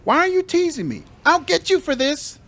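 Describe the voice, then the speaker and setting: Squeaky young dragonling for a fantasy game: very high, excitable, small. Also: male voice, moderate pace, full character voice. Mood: indignant.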